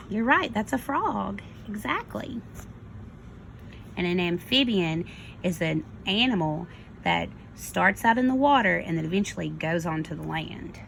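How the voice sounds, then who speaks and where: Slow woman reading to kindergarteners, creaky. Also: on the low side, a little hiss or hum, rough recording. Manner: simple explanations addressed to young children. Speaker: kindergarten teacher. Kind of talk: storybook read-aloud with teaching asides.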